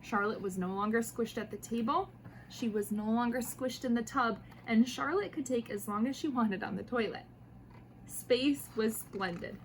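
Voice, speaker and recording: A woman with a high voice reads a children's picture book aloud. Her delivery is expressive and playful, aimed straight at young listeners. There is faint noise behind her. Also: normal speed, poor audio. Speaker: mother reading picture books aloud to children. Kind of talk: animated storybook read-aloud.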